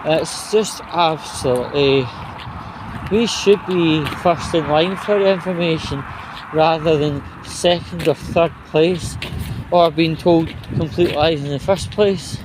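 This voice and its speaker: Slow-talking man grumbling outdoors over street noise. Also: on the high side. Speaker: vlogger. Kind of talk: casual walk-and-talk monologue. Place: outdoors, walking at night. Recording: clear outdoor ambience and vehicle noise.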